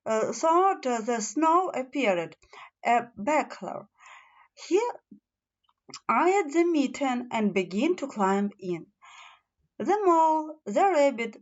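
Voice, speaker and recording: A woman reads a children's fairy tale aloud as a storybook narrator, stumbling now and then over her words. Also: fairly high pitch. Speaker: storybook reader. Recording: slightly dull, lo-fi sound.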